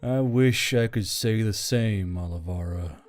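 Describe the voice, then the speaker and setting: A man's voice: fairly low pitch, a little slow, faint music behind, lightly acted. A man voicing a wry, tender military warlord in an audiobook story. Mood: wistful.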